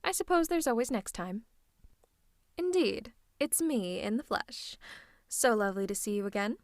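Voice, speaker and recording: A woman acts out a cocky, flirtatious villainess in an audio roleplay. Her fairly high voice swoops about with theatrical, mocking relish, recorded very dry and close. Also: very animated, normal speed, no echo. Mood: mocking, playful. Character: cocky, flirtatious female villain taunting a hero. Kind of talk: scripted villain roleplay ASMR.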